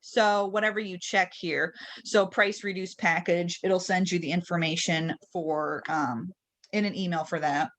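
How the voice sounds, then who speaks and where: Woman trainer on a webinar, lowish voice, chatty step by step screen share walkthrough. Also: steady tone, a little slow, fairly bright tone. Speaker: trainer leading a software webinar. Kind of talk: informal screen-share tutorial. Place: online webinar.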